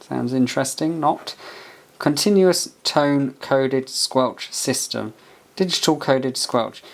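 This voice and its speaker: A man's voice: mid-range voice, slow. A man doing a casual hands-on product review, muttering as he thinks aloud and reads bits from a manual. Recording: clear background noise with handling clicks.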